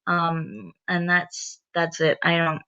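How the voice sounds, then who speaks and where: Worn-out woman rambling, low voice. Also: flat delivery, slow pace, bright, forceful tone, clean tone. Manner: rambling, exasperated asides. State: very tired. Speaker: channel host reading stories. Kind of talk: casual commentary between reading. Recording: dull lo-fi recording.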